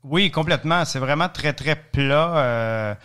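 A man in his thirties from Eastern Ontario with a Franco-Ontarian French accent, a podcast guest telling stories in a chatty, joking way, often pausing with fillers. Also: a little high, fairly bright tone, clean tone. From Eastern Ontario, Canada. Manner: chatty, joking storyteller with many hesitations. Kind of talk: casual podcast interview.

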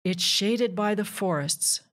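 A woman reading a rhyming children's book aloud with measured, deliberate phrasing. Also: medium pitch, fairly bright tone. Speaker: children's author and narrator.

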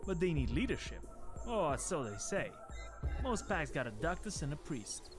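Casting: cynical vampire foot soldier for a game, higher-pitched male voice, animated, sardonic street talk. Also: moderate pace. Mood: explaining, blunt. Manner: slangy, blunt, sardonic. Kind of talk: video game dialogue. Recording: music behind the voice.

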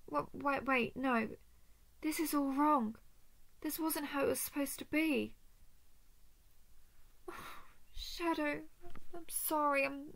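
A woman performs an obsessive female dragon who has taken her love captive. Her high voice is breathy and creaky, and she speaks slowly. She repeats herself pleadingly, unstable between tenderness and desperation, as in an intimate roleplay audio drama. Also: a bit bright, exaggerated voice. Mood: remorseful, broken. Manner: pleading, repetitive, swinging between tender and frantic.

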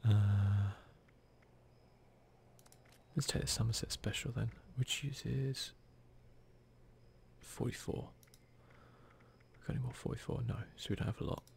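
A man softly giving casual ASMR commentary over a video game, often slipping into a whisper, with a self-deprecating manner. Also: on the low side, a little slow, gravelly, long pauses, a little hiss or hum. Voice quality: soft-spoken, often whispery. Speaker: gaming video host.

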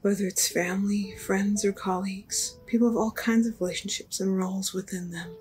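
A young woman's mid-pitched voice from an actress plays a gentle anime apprentice. She sounds thoughtful and soft, slightly hesitant, with music playing underneath. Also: flat delivery, brisk, natural voice. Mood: reflective. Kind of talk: anime fandub acting.

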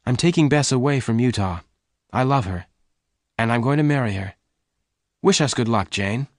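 A man's voice: medium pitch, animated, normal speed, dry recording, studio quality, pitched above the performer's own voice, lightly acted. A man reading the part of a decisive, protective young cowboy in a storybook, firm and urgent. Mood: earnest. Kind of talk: dramatised audiobook dialogue.

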